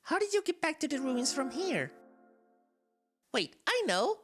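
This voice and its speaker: Soulless talking flower for a game fan dub, played by a woman: theatrical, weary yet menacing, animated delivery over soft music. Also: high voice, a little slow, pitched above the performer's own voice, heavily acted. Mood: weary, intense. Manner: confiding, theatrical, swinging between wistful and menacing. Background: game soundtrack music.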